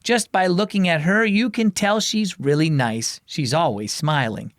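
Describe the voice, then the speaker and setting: A man's voice: on the high side, a little slow, no echo, clean studio sound, close to an everyday voice. A man voicing an eager, curious young girl in a children's story.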